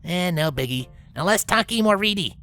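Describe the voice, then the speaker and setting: A man's voice: sits high, lively intonation, moderate pace, sharp tone, voice pushed up. A man voicing a cocky, brash young female pegasus athlete in a fan fiction reading, boastful and slangy. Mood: indignant, impatient.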